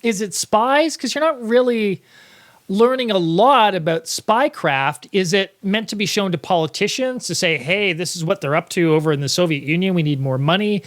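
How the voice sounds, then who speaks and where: An American man doing casual, tongue-in-cheek comedy commentary over a film. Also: high-pitched.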